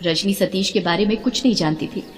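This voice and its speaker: A woman's voice: mid-range voice, a little fast, big-room sound. A woman narrating a scripted dramatic story.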